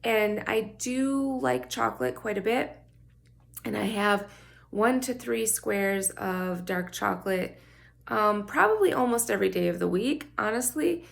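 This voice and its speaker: A woman's voice: mid-range voice, animated, unhurried, gravelly. A woman talking candidly to the camera in a chatty, personal vlog, her voice scratchy from just waking up. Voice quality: scratchy morning voice. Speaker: vlogger. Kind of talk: casual talk to camera.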